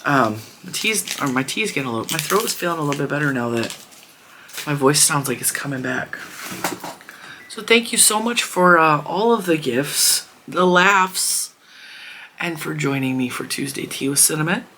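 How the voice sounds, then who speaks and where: A hoarse woman talks to her viewers in a loose, rambling way. Her voice is rough and worn, but her words stay friendly and open. Faint noise hums under the recording. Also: sits low, expressive, normal speed. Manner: chatty, rambling and warm toward viewers. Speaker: crochet channel host. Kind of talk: casual chat to camera.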